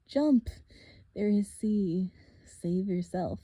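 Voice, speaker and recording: A woman's voice: mid-range voice, animated, dark tone, husky, dry recording, full character voice. A woman playing a gloating monster villain. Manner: slow, menacing, with cold laughs. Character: gloating monstrous male villain doctor, cruel and smug. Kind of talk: audiobook character voice.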